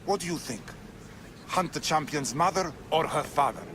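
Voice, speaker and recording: An actor playing a domineering, argumentative cultist in a video game, stern and insistent. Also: male voice, on the high side, expressive, normal speed, natural voice. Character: domineering, argumentative male cultist plotting conquest. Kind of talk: video game cutscene acting. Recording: clear background noise.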